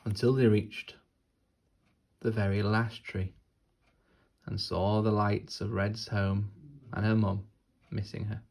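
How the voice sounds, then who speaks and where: A man narrates a children's storybook in a fairly low, husky voice with plenty of creak. He takes it deliberately, leaving dramatic pauses between short phrases. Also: little pitch movement, darkish tone. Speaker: teacher reading a story.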